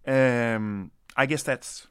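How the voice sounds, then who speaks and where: A man's voice: mid-range voice, steady tone, very slow, smooth, dry recording, clean studio sound. A man from Denmark, an anthropologist, answering interview questions in a reflective, hesitant way with many restarts.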